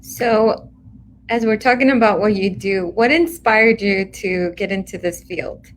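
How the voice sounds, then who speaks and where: A woman's voice: mid-range voice, unhurried, slight background noise, low-quality recording. A woman hosting a casual live interview in a welcoming, encouraging way. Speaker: interview host.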